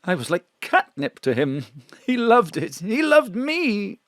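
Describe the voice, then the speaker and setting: A man's voice: high voice, sing-song, measured pace, a bit bright, no echo, studio quality, full character voice. An actor playing a frightened male drag performer, with a theatrical, swooping storytelling manner. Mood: confiding. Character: male drag performer Bruno, also Mona, frightened fugitive. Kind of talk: audio drama acting. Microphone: home studio mic.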